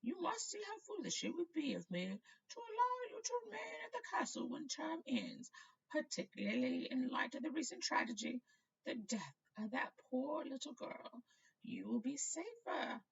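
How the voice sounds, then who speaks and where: A woman's voice: sits high, big pitch swings, moderate pace, vocal fry, highs cut off, voice pushed up, full character voice. A woman voicing a frail, kindly old male wizard headmaster in a feeble, wavering voice for a storybook reading. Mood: gravely concerned.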